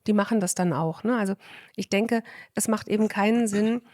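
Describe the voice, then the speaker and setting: An emphatic woman speaking as a doctor on a podcast, explaining at length in a relaxed, chatty way. Her voice is recorded dry and close, with almost no room sound. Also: medium pitch, fairly quick, no echo. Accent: German. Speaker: physician guest. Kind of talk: casual podcast conversation.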